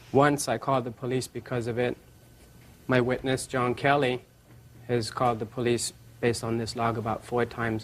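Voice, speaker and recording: A man testifying as a defendant in a TV court, with deliberate and formal wording. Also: mid-range voice, a little hiss or hum.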